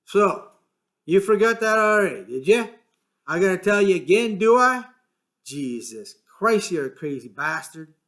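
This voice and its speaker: A man voicing a small, irritable ranch hand who talks gruffly, swears and sounds fed up. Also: high-pitched, normal speed, fairly bright tone, voice pushed up. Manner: gruff, exasperated, swearing, rough rural speech.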